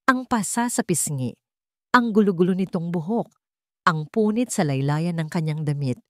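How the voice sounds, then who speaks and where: A woman's voice: on the low side, lively intonation, normal speed, no room sound, studio quality. A woman reading a scripted romantic drama as a storyteller, in dramatic narration.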